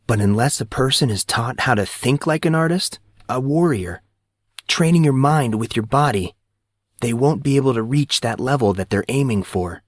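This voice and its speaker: Earnest schoolboy of fourteen male narrator acting, solemn, impassioned, pitched up, dry sound. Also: lively intonation, moderate pace, dry recording, natural voice. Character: earnest fourteen-year-old boy, intense about martial arts.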